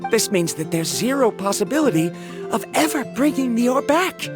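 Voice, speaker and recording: A doubtful young scholar. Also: male voice, very high voice, sing-song, normal speed. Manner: analytical, doubtful. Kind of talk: video game voice acting. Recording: game audio with background music.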